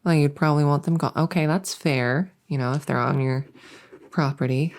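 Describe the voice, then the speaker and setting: A woman playing a friendly, silly monster under the bed in an ASMR roleplay, rambling playfully and softly. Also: low-pitched, fairly even intonation, normal speed. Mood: musing, playful. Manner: rambling, playful, soft-spoken.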